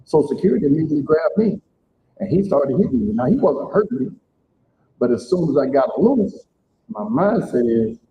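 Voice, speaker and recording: A man's voice: very animated, moderate pace. Middle-aged man, plain-spoken and emphatic, giving testimony to a church-hall crowd. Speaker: speaker at community event. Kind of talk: personal testimony to a live audience. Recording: tinny phone-like sound, faint rumble.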